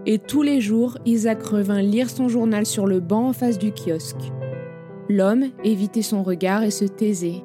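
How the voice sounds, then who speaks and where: A woman narrating an audio drama in a measured, grave storytelling manner. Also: medium pitch, over music.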